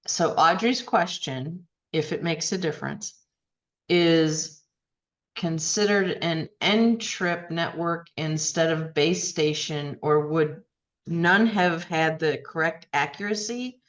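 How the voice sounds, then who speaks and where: A woman's voice: deep voice, takes it slowly. A woman moderating an online session, casually relaying audience questions over a call. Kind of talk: casual reading out of chat questions. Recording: dull online call audio.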